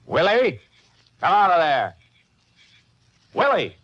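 An actor playing a calm, authoritative Texas Ranger in a 1950s radio western, firm and terse, with an American accent. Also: male voice, high voice, slow pace, exaggerated voice. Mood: commanding. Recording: very noisy old radio recording.

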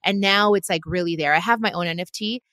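A woman's voice: fairly low pitch, fast, fairly bright tone, dry recording, studio quality. A woman hosting a podcast, chatting with a guest in a bubbly, enthusiastic way and gushing with praise. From Mexico. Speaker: podcast host. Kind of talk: casual interview chat.

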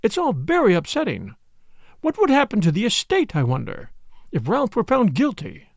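A man's voice: high-pitched, huge pitch swings, normal speed, gravelly, dry recording, studio quality, voice pushed up, exaggerated voice. A man voicing a fretful, self-pitying older mother in a storybook, plaintive, fussy and rambling. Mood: tearful.

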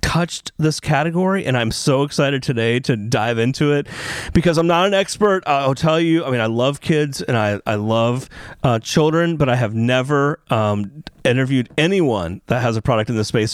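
A man's voice: medium pitch, dry recording, clean studio sound. A man hosting a podcast interview, speaking enthusiastically with lots of fillers and restarts. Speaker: podcast host.

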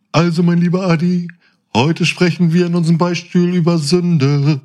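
A man's voice: fairly high pitch, unhurried, a little mellow, voice pushed up, natural voice. A man playing a pompous mock priest, solemnly presenting a comedy confession segment.

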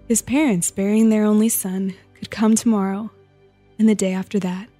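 A woman giving a measured, solemn dramatic reading of a personal letter. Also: medium pitch, warm tone. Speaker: reader.

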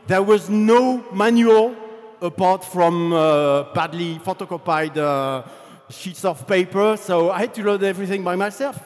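A man's voice: high-pitched, a little slow, big-room sound, faint music behind. A man with a French accent, a game developer giving a chatty conference talk about his career, full of fillers. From France. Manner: relaxed, chatty storytelling with many fillers. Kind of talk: conference presentation.

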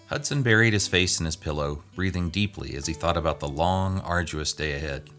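Male narrator for a scripted story, deep voice, light plucked-string music behind. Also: brisk, fairly bright tone. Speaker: guest reader.

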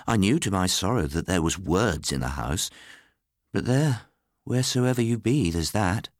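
A man's voice: deep voice, expressive, moderate pace, no echo, clean studio sound. A man voicing a gossiping, pious household woman with an emphatic, folksy way of talking, in a storybook reading. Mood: indignant gossip.

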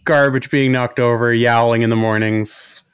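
A man's voice: mid-range voice, steady tone, moderate pace, smooth, no echo. A man hosting a podcast with a slight New England accent and a faint nasal whine, chatting casually and rambling with many restarts. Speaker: podcast host. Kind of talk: casual interview chat.

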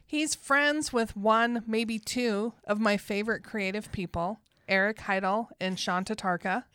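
A woman's voice: mid-range voice, fairly even intonation, a little slow, a bit bright, clear, resonant tone, no echo. A woman hosting a podcast from a studio, enthusiastic and laughing often. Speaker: podcast host. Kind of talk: casual chat.

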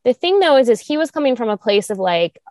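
A young woman co-hosting a talk show, speaking candidly with vocal fry, lots of fillers, and sharp jumps up in pitch when she makes a point. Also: fast, no room sound, clean studio sound. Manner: animated, restarts and fillers, rises high when emphatic. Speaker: podcast co-host. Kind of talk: candid conversational chat.

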